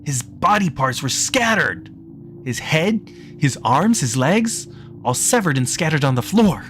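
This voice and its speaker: A man voicing a shaken young man reporting something gruesome in a halting, insistent way. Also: fairly high pitch, big pitch swings, a bit bright, soft background music, voice pushed up. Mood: shouting, frantic.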